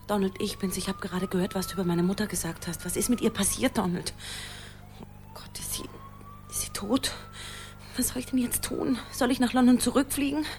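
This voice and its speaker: A woman's voice: mid-range voice, huge pitch swings, fairly quick, background noise. An actress playing an anxious, pleading girlfriend leaving urgent phone messages in a radio drama. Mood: frightened, pleading. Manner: urgent, fretful.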